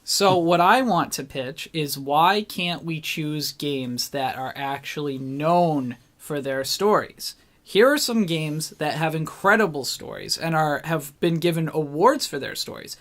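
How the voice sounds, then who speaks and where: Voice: medium pitch, lively intonation, unhurried, fairly bright tone. Casual, opinionated co-host with a mid-range voice. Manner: informal, opinionated, mildly swearing. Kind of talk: casual opinionated discussion of films and games.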